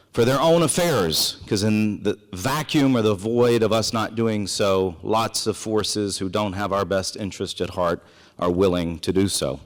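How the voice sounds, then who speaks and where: A man from South Carolina giving a formal prepared speech as event host, earnest, emphatic and deliberate. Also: on the low side. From South Carolina, United States. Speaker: event host introducing a speaker. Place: indoor event hall with audience. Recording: echoey hall with long reverb.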